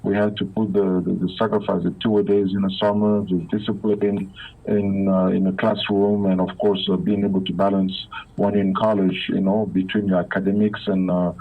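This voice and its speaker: A man from Belgium speaks as an interview guest, his low, gravelly voice heard through a tinny phone line. He stumbles and repeats words often, circling back before finishing each thought. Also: level tone. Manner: halting, many repeated words and fillers. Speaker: guest, former pro football player. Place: Africa.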